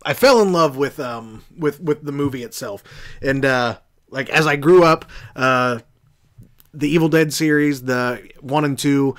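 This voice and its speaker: An American man with a mid-pitched voice hosts a casual podcast. He tells stories in a loose, rambling way, doubling back and losing his thread, with some offhand swearing. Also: a little slow. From southeastern Indiana, United States. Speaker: podcast host. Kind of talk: casual storytelling on a horror podcast.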